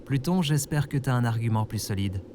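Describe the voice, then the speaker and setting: A man's voice: mid-range voice, expressive, fairly quick, noisy background, lightly acted. Man playing an exasperated, skeptical everyman. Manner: dry, exasperated. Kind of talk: audio fiction comedy acting.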